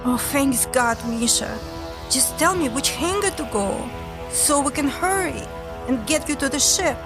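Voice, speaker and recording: A woman's voice: high-pitched, animated, a little slow. A woman playing an anxious, urgent woman on the run with a wounded companion in an audio drama. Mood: urgent, relieved. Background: loud music.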